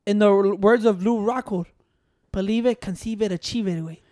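A man chatting as a podcast co-host, telling stories in a relaxed, profane way with Spanish words mixed in. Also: high-pitched, moderate pace, no room sound, studio quality. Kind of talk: casual chat.

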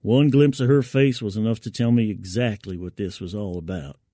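A man's voice: lowish voice, fairly even intonation, normal speed, mellow, dry recording, studio quality. A man narrating first-person fiction dramatically, in a gritty, colloquial storytelling manner.

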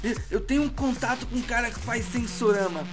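A man's voice: extremely high pitch, fairly quick, over music. A man playing a terrified, desperate victim in an audio drama, pleading and bargaining frantically for his life with coarse language. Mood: frantic bargaining. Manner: pleading, frantic, foul-mouthed.

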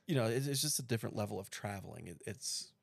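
Gravelly low-voiced man in his thirties. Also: fast, no room sound. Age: 30s. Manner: relaxed, rambling with restarts. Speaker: podcast co-host. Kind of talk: casual chat.

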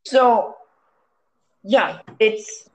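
A man from Hong Kong whose first language is Cantonese, speaking as an interview guest in a measured, reflective way with some restarts. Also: sits high, poor audio. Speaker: guest, science story editor.

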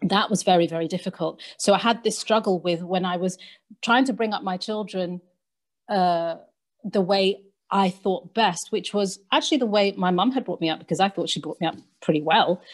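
A woman speaking as a guest in a panel discussion, thoughtful and measured, pausing with "um". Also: fairly low pitch. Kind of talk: reflective conversational discussion.

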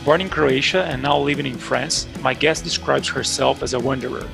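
A man hosting an interview podcast, asking questions with halting restarts. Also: medium pitch, gravelly, music in the background. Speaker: podcast host.

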